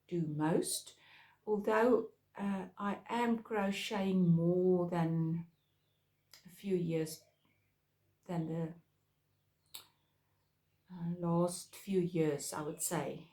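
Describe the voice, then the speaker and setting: A woman with an Afrikaans accent, whose first language is Afrikaans, chats to her viewers in a slow, halting way, often pausing on fillers. Her voice sits low and mellow. The room around her sounds echoey. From South Africa. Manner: hesitant, many pauses and fillers. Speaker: craft podcast host. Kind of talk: casual chat to viewers.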